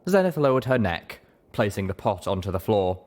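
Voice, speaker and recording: A man's voice: lowish voice, big pitch swings, normal speed, darkish tone. A man reading scripted fiction aloud as a dramatic audiobook narrator. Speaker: audiobook reader.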